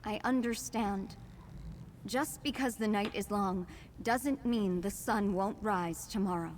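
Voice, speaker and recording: An actress playing an earnest young woman with hidden powers in a video game, speaking gravely. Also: mid-range voice, takes it slowly, a little hiss or hum, natural voice. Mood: searching. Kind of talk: video game dramatic acting.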